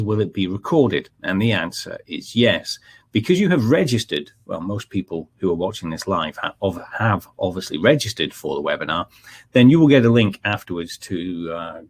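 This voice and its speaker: A middle-aged Scottish man with a Scottish accent hosting a live technical webinar, talking conversationally with many fillers and restarts. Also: low-pitched. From Scotland. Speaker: webinar presenter, technical manager.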